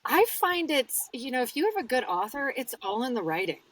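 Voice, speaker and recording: A woman's voice: high voice, very animated, brisk, far from the mic. A woman audiobook narrator, talking casually and explaining her work in an interview, laughing easily. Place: home studio.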